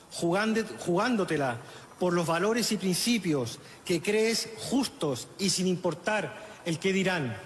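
A man's voice: high voice, a little hiss or hum. Middle-aged Chilean man, solemn eulogist at a lectern, raised voice, faint crowd ambience behind. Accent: Chilean Spanish. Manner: solemn, measured, with halting restarts. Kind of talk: funeral eulogy, read aloud. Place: outdoor funeral gathering. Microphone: lectern microphone. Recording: echoey, reverberant outdoor sound with faint ambience.

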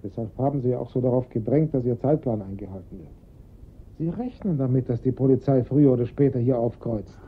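A husky-voiced, strained father in a radio crime play, acted by a man. He is insistent and keeps justifying himself. The recording is muffled and lo-fi. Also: mid-range voice, fairly quick, lightly acted. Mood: tense, determined. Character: strained father of a kidnapped boy, engineering draughtsman. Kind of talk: German radio crime drama acting.

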